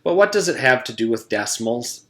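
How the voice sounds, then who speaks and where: A man's voice: mid-range voice, sing-song, brisk, fairly bright tone. A weary female schoolteacher from a children's storybook, voiced by a man. She is patient and restrained, with a dry, muttering delivery. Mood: weary patience. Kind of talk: children's storybook read-aloud.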